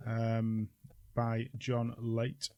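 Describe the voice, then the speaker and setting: A man with an average-pitched voice chats casually as a podcast co-host. He teases and jokes along in an informal way. The sound is dry, with very little room on it. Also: monotone, extremely slow, dry recording, lo-fi sound. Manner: teasing, jokey. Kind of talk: casual banter.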